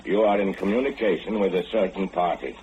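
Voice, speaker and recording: An actor playing a big, menacing heavy in an old radio horror play, speaking in a stiff, deliberate, formal way. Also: male voice, deep voice, lightly acted. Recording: muffled, noisy old radio broadcast.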